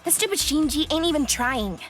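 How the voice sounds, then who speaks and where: A woman's voice: high voice, lively intonation, fairly quick, a bit bright. A woman voicing a brash, hot-tempered tomboy girl warrior in a game cutscene dub, rude and insulting. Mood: scornful. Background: game music.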